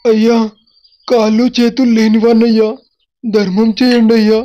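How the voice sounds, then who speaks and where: A man's voice: very high-pitched, flat delivery, moderate pace, mellow, no echo. Man playing a humble beggar father, muffled. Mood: pleading. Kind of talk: dramatised moral story acting. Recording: muffled lo-fi audio under music.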